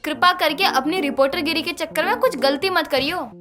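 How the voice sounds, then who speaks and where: Woman acting sarcastic mother-in-law, high voice, bossy, exasperated, animated story, music behind. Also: a little fast, natural voice. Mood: exasperated, scolding. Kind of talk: animated moral story dialogue.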